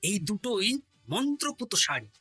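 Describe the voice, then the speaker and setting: A clever male court jester from a cartoon. The voice is forceful and bright, with very lively swings of pitch and a close, dry sound. He explains himself with sly confidence. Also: moderate pace, husky, no room sound, faint music behind, heavily acted. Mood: confident. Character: clever, witty court jester man outsmarting an impostor. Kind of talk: cartoon voice acting.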